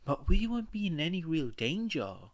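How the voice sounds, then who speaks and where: Man voicing an anxious, hesitant teenage girl, pitched up. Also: sing-song, normal speed. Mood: protesting. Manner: hesitant, questioning. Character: anxious, earnest teenage girl hero student.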